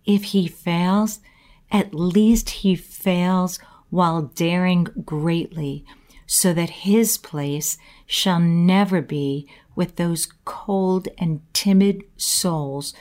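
A woman giving a slow, earnest inspirational talk about courage, reflecting on her own life. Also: lowish voice, warm tone. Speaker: motivational speaker.

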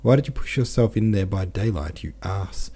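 Man doing a gruff, scolding commander. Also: on the low side, expressive, moderate pace, dark tone. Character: stern, short-tempered male cavalry commander.

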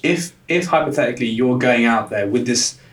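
A man's voice: mid-range voice, brisk. A young man who stutters, talking casually as a guest in an interview, with frequent repeated words. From lives in London. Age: young adult. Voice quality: stutter, frequent word repetitions. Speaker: guest, life coach. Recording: roomy, distant from the mic.

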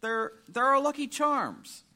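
Suburban father, played by a man, animated and forceful, earnest, upbeat, placating, live audio drama. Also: very high-pitched, takes it slowly, full character voice. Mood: earnest enthusiasm. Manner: earnest, eager.

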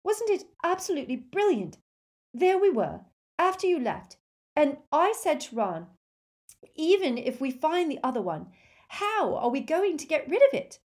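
A woman voicing an excitable, clever teenage girl who talks breathlessly. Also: fairly high pitch, unhurried, hardly any pauses, pitched above the performer's own voice. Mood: excited, gushing. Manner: breathless, gushing.

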